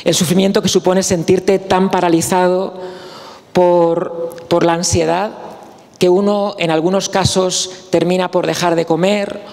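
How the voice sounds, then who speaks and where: A man from Spain giving a personal talk as guest speaker at a conference, speaking slowly and reflectively with pauses and restarts. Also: high-pitched, level tone, creaky, darkish tone, long reverb tail. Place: conference hall.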